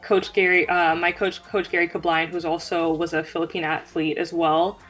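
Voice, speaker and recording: A young woman speaks as an athlete being interviewed. Her voice sits fairly low and her phrasing is earnest and informal. Faint music plays behind her. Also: little pitch movement, normal speed, a bit bright, low-quality recording. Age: young adult. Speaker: guest, track sprinter. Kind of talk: conversational interview answers.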